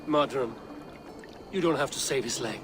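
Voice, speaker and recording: A man's voice: mid-range voice, moderate pace, background noise, natural voice. A man playing a blunt, wry, good-humoured Viking warrior in a video game. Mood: wry, calm.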